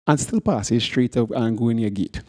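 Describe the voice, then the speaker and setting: A man's voice: fairly quick, darkish tone. A man hosting a podcast, chatting casually in loose, profane banter without projecting his voice. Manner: talks low and does not project. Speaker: podcast host.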